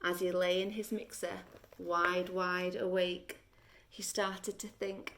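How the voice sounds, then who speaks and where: A woman reading a children's story aloud like a teacher, deliberate and expressive, with dramatic pauses. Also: on the low side.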